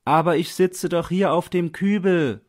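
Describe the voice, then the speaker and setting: A man voicing a freezing, penniless man who calls out loudly and pleads imploringly in an audiobook story. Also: sits high, a little slow, voice pushed up. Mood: desperate pleading.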